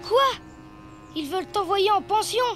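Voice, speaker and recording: A very high-pitched, cheeky little cartoon animal boy. He is confident and slangy in a French dub, with music clearly behind him. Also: normal speed. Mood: cocky. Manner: slangy, expressive, boyish.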